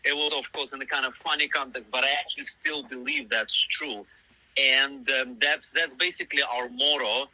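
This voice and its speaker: A man's voice: mid-range voice, expressive, normal speed, vocal fry, sharp tone, no room sound, poor audio. A middle-aged man whose first language is Russian, giving long, thoughtful interview answers full of fillers and restarts. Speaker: musician guest.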